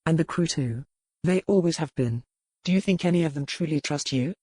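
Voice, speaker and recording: A woman's voice: deep voice, fairly quick, warm tone, husky, dry recording, studio quality. A woman voicing a brusque, teasing male pirate quartermaster with blunt, bantering delivery in an audiobook. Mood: mocking banter.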